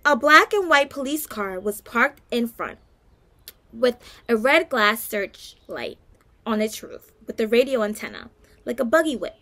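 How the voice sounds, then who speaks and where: Bright, high-voiced woman reading a story. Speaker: guest storybook reader. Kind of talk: scripted story narration, read aloud.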